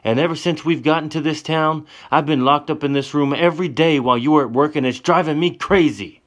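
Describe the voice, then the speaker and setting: A man voicing a passionate, defiant young woman in a told story, his voice pushed high and strained with emotion. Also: normal speed, natural voice. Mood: frustrated. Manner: pleading, emotional outbursts.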